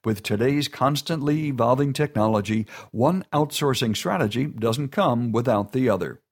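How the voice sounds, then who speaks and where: A man giving a steady, informative scripted narration. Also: mid-range voice, normal speed.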